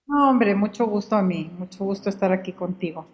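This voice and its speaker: An older woman from Guatemala speaks as an interview guest, at an even middle pitch. She tells her story in an easygoing, conversational way. She often stops and repeats a word before going on. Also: lo-fi sound. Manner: unhurried, often repeats and restarts words.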